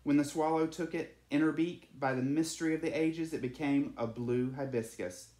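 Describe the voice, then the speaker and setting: A storyteller reading a children's storybook aloud in an animated, dramatic way. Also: mid-range voice, moderate pace, echoey room, low-quality recording.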